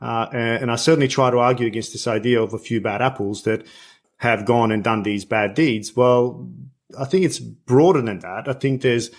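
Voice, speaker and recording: A man from Bosnia hosting an interview podcast, talking conversationally and repeating words as he forms his thoughts. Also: medium pitch. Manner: halting, repeats words while forming thoughts. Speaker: podcast host.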